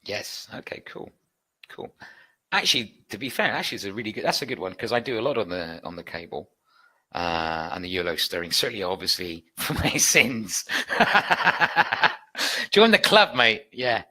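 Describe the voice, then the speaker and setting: A British man with a bright, forceful voice runs the question time of a live online trading class. He is chatty and upbeat, reading out viewers' requests and promising future sessions. His talk is loose and warm-hearted, full of quick asides. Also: fairly low pitch, expressive, husky. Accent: British English. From United Kingdom. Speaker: trading educator hosting a webinar. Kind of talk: live webinar Q&A.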